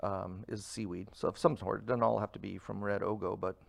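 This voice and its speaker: Relaxed man, dry close sound. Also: lowish voice, fairly quick, vocal fry, dry recording. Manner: relaxed, rambling with fillers. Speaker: food truck owner. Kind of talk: casual interview talk.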